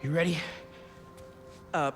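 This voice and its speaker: A man playing an awkward, kindly young superhero who stammers haltingly in a video game scene. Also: over loud music, lightly acted. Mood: nervous, halting. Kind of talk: video game cutscene acting.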